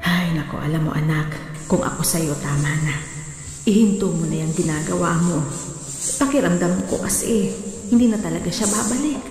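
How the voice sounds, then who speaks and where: An actress playing a gentle, hesitant, concerned older mother in a radio drama. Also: lowish voice, moderate pace, lightly acted. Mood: worried. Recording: very echoey hall with music behind.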